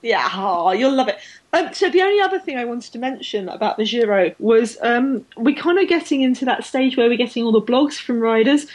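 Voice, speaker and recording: A woman's voice: fairly high pitch, expressive, moderate pace, a little mellow. A woman co-hosting a sports podcast in casual, enthusiastic chat, giggly and often repeating herself as she goes. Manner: enthusiastic, giggly, stumbling repetitions. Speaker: cycling podcast co-host.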